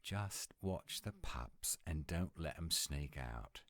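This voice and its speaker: Man playing a deep-voiced grumpy British werewolf. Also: animated, takes it slowly, a bit bright, heavily acted. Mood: stern, explaining. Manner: curt, exasperated, scolding. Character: grumpy, exasperated British male werewolf, gruff protector. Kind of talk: scripted audio roleplay.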